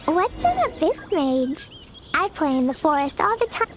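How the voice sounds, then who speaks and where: A woman playing a sweet, innocent, excitable little girl in an anime video game, with an earnest childlike manner. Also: high-pitched, normal speed, heavy background noise. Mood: curious.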